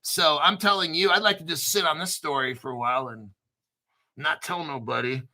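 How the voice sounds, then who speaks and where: Bright-voiced man hosting a crypto show. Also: a little high, steady tone, moderate pace. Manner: conspiratorial, spells out names in letters, swears casually. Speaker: crypto channel host. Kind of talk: casual solo commentary.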